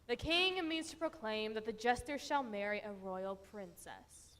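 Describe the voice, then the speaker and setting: Domineering stage queen female actor: forceful, raised pitch, imperious. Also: expressive, a little slow. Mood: corrective. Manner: imperious, scolding. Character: sharp, domineering queen correcting her husband. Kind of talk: stage comedy fairy-tale acting.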